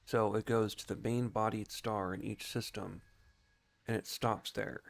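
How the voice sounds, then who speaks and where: A man with a fairly low voice talks through a video game as a streamer would. His delivery is casual and explanatory, as he thinks aloud in an informal way. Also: level tone, a little slow, vocal fry. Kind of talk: casual gameplay commentary and explanation.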